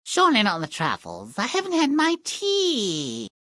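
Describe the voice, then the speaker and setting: Shrill, haughty spoiled girl, very high and piercing, cartoon fairy tale game. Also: huge pitch swings, unhurried, sharp tone, no room sound, studio quality, heavily acted. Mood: petulant. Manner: haughty, shrieking, overdramatic. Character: shrill, spoiled, callous young daughter. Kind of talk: theatrical video game cartoon acting.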